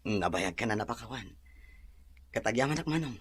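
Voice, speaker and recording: A man plays a forgiving Filipino younger brother and devoted fiancé in an audio drama. His medium-pitched voice is husky. He speaks earnestly, in a reassuring way. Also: animated, brisk, a bit bright.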